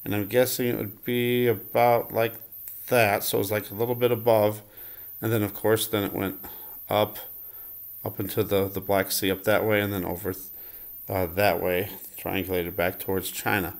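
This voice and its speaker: A man's voice: fairly low pitch, flat delivery, normal speed, vocal fry. A man vlogging in a casual, unscripted way, commenting as he goes in a hesitant, rambling manner and often correcting himself.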